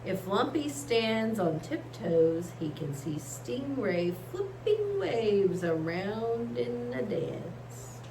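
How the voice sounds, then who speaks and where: A woman reading aloud to children like a teacher, expressive and encouraging. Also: medium pitch, slow pace, few pauses. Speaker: story reader, teacher. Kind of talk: read-aloud storytelling for children. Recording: echoey room, faint background hiss.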